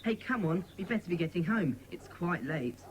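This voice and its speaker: A woman's voice: fairly low pitch, lively intonation, moderate pace, noisy background, lightly acted. A woman playing a blunt, matter-of-fact young schoolboy in a dramatised children's audiobook. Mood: impatient.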